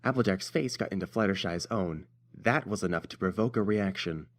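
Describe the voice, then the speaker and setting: A man's voice: low voice, a little fast. A man reading scripted story narration as the narrator.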